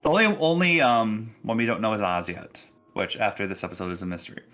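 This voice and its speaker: A man acts as game master for a tabletop roleplaying session. His voice is bright and clear-cut, and he explains the scene in a casual, conversational manner. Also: fairly low pitch, quick pace.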